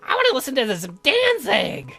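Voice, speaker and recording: A man's voice: very high voice, wildly swooping pitch, normal speed, vocal fry, bright, forceful tone, soft background music, pitched above the performer's own voice, exaggerated voice. A man voicing the gleeful, ghoulish, man-eating female cousins of a count in a horror game, with theatrical eagerness. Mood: ghoulish delight.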